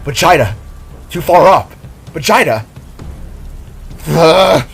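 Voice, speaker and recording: A man voicing an impulsive, brave male spark hero in an audio drama, shouting fervently and dramatically. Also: on the high side, expressive, slow, creaky, pitched above the performer's own voice, exaggerated voice. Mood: anguished, determined. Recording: background music under the voice.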